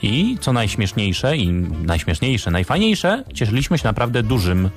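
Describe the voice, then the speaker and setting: A man's voice: medium pitch, big pitch swings, normal speed, soft background music. A man co-hosting live radio in a casual, chatty style. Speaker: radio co-host. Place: radio studio.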